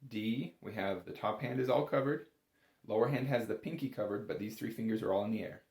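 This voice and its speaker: A man's voice: fairly low pitch, a little fast, darkish tone, roomy sound. A man giving a casual, step-by-step music lesson as an instructor, in an informal, reassuring and encouraging manner. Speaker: bagpipe instructor.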